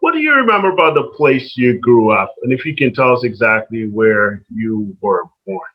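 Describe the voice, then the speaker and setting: A man's voice: mid-range voice, big pitch swings, normal speed, lo-fi sound. A man hosting an interview, asking questions in a polite style. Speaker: interviewer, host.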